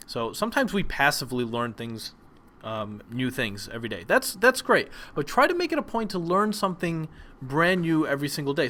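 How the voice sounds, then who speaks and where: A young man whose first language is Polish, giving a relaxed presentation lesson with frequent ums and restarts. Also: mid-range voice. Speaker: teacher.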